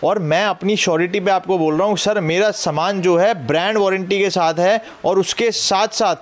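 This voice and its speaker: A man with a Delhi Hindi accent giving a brisk, persuasive sales pitch while walking through a large electronics warehouse, calling the listener sir. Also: fairly even intonation. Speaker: shop salesman.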